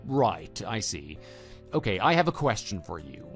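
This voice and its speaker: A man playing a level-headed, skeptical husband with a measured, hesitant delivery in an audio drama. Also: lowish voice, very animated, soft background music. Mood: skeptical, controlled. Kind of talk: scripted texting-story radio drama.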